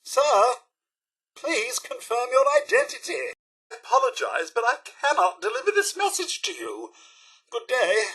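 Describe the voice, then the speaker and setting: Fussy robot butler, British accent, high-pitched, slightly raspy, overly courteous, theatrical video game character. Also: male voice, animated, measured pace, sharp tone, heavily acted. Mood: officious, polite.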